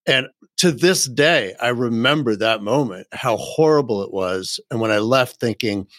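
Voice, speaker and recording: A man hosting a podcast for lawyers, telling a story in a conversational, animated way. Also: medium pitch, normal speed, no room sound.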